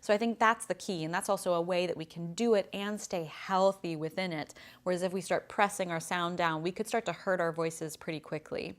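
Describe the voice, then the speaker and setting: A woman voice coach talks to camera in an easy, friendly lesson. Her voice sits a little on the low side, and she explains things plainly and thoughtfully. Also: steady tone, brisk, hardly any pauses.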